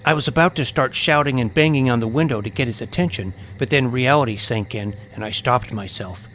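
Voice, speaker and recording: A man's voice: medium pitch, fairly quick, a bit bright. Man narrating suspense, noticeable background noise. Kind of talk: dramatic scripted first-person suspense narration.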